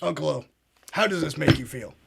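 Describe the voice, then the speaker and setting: A man's voice: on the high side, animated, fairly quick, vocal fry, heavily acted. A man playing a blustering male conspiracy talk-show host, pompous and fond of repeating himself. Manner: pompous, keeps saying "absolutely" and "ladies and gentlemen". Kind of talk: comedic parody show.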